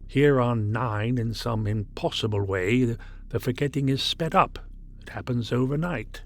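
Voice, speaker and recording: Casting: man playing reflective, plain-spoken crewman, average male pitch, slightly gloomy, 1950s radio acting. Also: normal speed, lightly acted.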